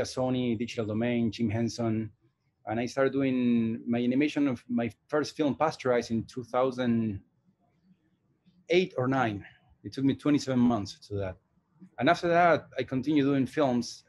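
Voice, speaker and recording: A man in his forties, originally from Argentina, answers interview questions about his work. He talks in a thoughtful, unhurried way, pausing and restarting his sentences as he searches for words, with a voice of ordinary middle pitch. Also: darkish tone. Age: 40s. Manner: thoughtful, hesitant, many restarts. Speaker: animation director and teacher. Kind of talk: casual interview.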